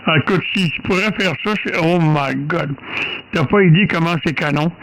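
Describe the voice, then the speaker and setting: A man's voice: fairly high pitch, normal speed, background noise. An older man with a Quebec French accent chatting casually as an amateur radio operator, often repeating himself. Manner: repeats words, English exclamations. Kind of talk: casual two-way radio chat.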